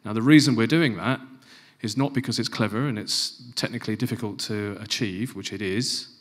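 A middle-aged man giving a conference presentation on a large stage into a handheld mic, stressing key phrases and dropping to quieter asides. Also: on the low side, fairly quick. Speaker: conference speaker. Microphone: handheld microphone.

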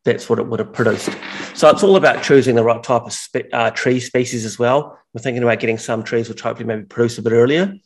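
A man talks through a video call from a large, bare workshop room. His pitch sits in an ordinary middle range, and he wanders through his explanations, restarting and correcting himself as he goes. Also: fast, hardly any pauses, slightly rough. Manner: rambling, self-correcting explanations. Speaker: mushroom farmer guest. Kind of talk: casual video call chat. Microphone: video call, headphones on.

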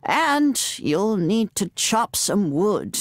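A woman playing a feisty but kindly elderly witch grandmother in a video game, with a folksy, wry, unhurried manner. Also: mid-range voice, very animated, dry recording, clean studio sound. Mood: gentle, folksy.

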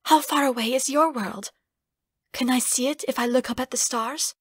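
A woman's voice: high voice, moderate pace, a bit bright, breathy edge, dry recording, studio quality, voice pushed up. A woman voicing a homesick, earnest young servant in a fantasy audiobook, wistful and deferential. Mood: wondering. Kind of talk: fantasy audiobook character acting.

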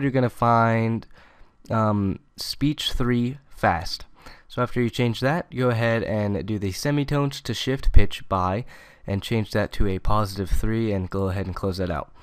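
A young man hosting a casual software tutorial in an informal, conversational way. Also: fairly low pitch, clean tone, no echo, studio quality. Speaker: tutorial host.

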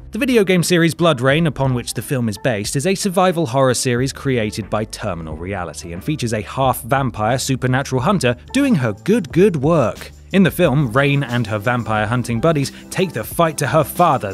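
A man with a British accent reading a scripted countdown as host, sardonic and mock-dramatic with dry asides. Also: a little high. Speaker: video host narrator. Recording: voice-over with music behind. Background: music.

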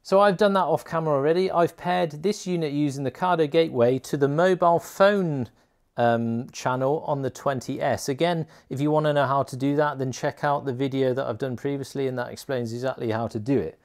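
Male tutorial host, mid-pitched, chatty and colloquial, informal step-by-step product explanation. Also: fairly quick. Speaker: motorcycle gear video host.

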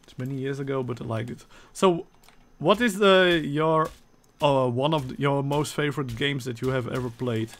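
A man's voice: mid-range voice, normal speed, hardly any pauses. A man with a Dutch accent chatting with viewers and teammates while streaming a game live, laughing often. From Netherlands. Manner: chatty, laughs often, reads chat aloud. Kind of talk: live gameplay chat.

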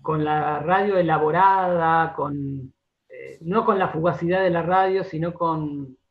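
Higher-voiced Argentine man, halting talker. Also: highs cut off. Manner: halting, circles back and repeats words as he explains. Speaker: interview guest, radio drama director and writer. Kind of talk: radio interview, conversational.

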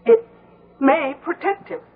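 An actress playing a fearful, fierce, superstitious daughter-in-law in a 1970s radio drama, intense and ominous. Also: female voice, very high-pitched, animated, unhurried, narrow, tinny audio. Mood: guarded, fearful. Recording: band-limited old radio broadcast.

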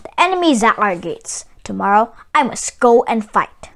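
A woman voicing a grave male warrior in a storybook read-aloud, earnest and deliberate. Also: fairly high pitch, sing-song, a bit bright, pitched below the performer's own voice. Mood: solemn, urgent. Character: grave male warrior asking a painter for help.

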